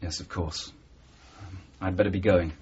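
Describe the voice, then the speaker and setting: An actor in a radio drama playing a reserved, courteous police sergeant with a polite, understated and slightly hesitant manner. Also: male voice, low-pitched, fairly even intonation, moderate pace, a little mellow, natural voice. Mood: awkward. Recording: dull, slightly muffled 1980s radio broadcast.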